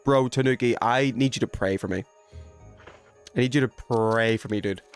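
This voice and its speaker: A man talks his way through a livestreamed game with an animated, mid-pitched voice. He thinks aloud in casual slang, often questioning himself and trailing off. Music plays faintly behind him. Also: smooth. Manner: informal slangy speech. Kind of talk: casual livestream gameplay chat.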